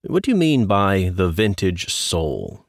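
A man's voice: low-pitched, very animated, measured pace, no echo, studio quality. A man co-hosting a podcast, chatting and interviewing in a conversational way.

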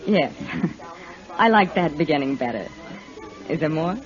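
A woman's voice: sits low, expressive, unhurried. An actress playing a coy, genteel, flirtatious lady in a 1950s radio western, with a hesitant manner. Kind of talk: 1950s radio drama acting. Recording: muffled vintage radio, faint music.

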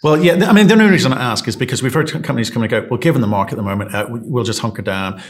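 A man's voice: mid-range voice, expressive, racing. A man hosting an investment interview podcast, asking probing questions in a chatty way.

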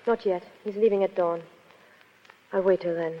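A woman's voice: medium pitch, fairly even intonation, moderate pace, very noisy, close to an everyday voice. An actress playing a subdued, lovelorn woman in a restrained, terse 1930s radio drama style. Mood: sad, restrained. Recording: muffled 1930s radio recording with music.

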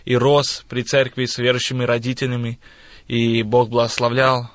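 Young man, mid-pitched, close dry sound. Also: level tone, normal speed, dry recording. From born in Russia, raised in United States. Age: 20s. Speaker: radio guest. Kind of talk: personal introduction in interview. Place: radio studio.

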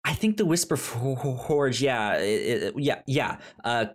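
Animated man with a stammer, podcast co-host. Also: mid-range voice, takes it slowly. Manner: stammers, repeating first sounds of words. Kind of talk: casual podcast chat.

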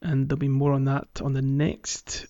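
A man's voice: medium pitch, completely flat delivery, normal speed, mellow, no room sound, lo-fi sound. A man co-hosting a podcast in a casual chat about a video game. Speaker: podcast co-host.

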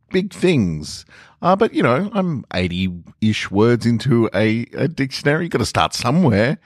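A man hosting a podcast, giving a relaxed, chatty introduction with plenty of filler words before he reads his stories. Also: low-pitched, no echo, clean studio sound. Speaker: podcast host and story reader.

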